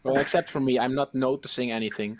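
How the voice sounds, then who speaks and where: A man playing a tabletop roleplaying game, describing his character casually and haltingly. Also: medium pitch, steady tone, background noise.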